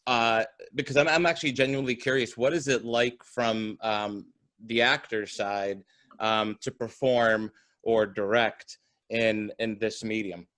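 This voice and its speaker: A man with a slightly low voice talks casually on an online panel. He is wordy and halting, and the sound is very dry, with no room around it. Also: fairly even intonation, a bit bright, no room sound. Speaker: theater producer. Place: video call.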